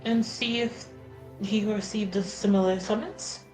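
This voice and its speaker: A woman's voice: mid-range voice, fairly even intonation, measured pace, darkish tone, soft background music, low-quality recording. A woman playing a tabletop roleplaying game, talking in casual, improvised table conversation.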